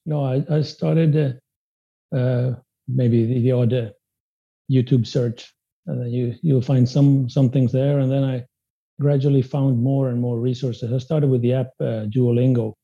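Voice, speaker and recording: A man whose first language is Spanish, raised in Sweden, talks in a very dark, mellow voice with a husky edge. He is hesitant and restarts often as he answers interview questions. The podcast audio is dull and dry. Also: medium pitch, little pitch movement, measured pace. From Spanish-speaking country, raised in Sweden. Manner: hesitant, many restarts and fillers. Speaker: guest. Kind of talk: casual interview.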